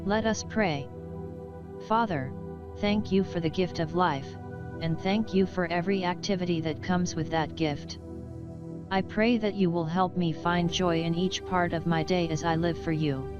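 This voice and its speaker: A low-pitched woman reads a scripted devotional and prayer. The sound is a little dull and lo-fi, and instrumental music plays clearly behind her voice. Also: steady tone, unhurried. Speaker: devotional reader. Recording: dull lo-fi audio under music. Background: guitar music.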